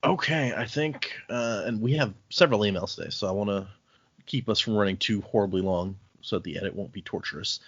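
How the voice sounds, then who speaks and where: A man's voice: on the low side, fairly bright tone, husky, lo-fi sound. A man hosting a casual book podcast, recapping chapters and briefly voicing the characters' lines. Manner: recaps chapters, acting out lines in quick voices. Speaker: podcast host.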